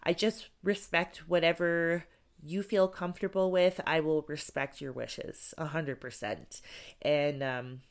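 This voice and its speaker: A low-pitched woman talks casually as a podcast host, her voice smooth and resonant despite sounding congested. She rambles a little with fillers, warmly thanking her listeners. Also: steady tone, unhurried. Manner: chatty, grateful, rambling with fillers. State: congested from a cold. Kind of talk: casual solo podcast talk.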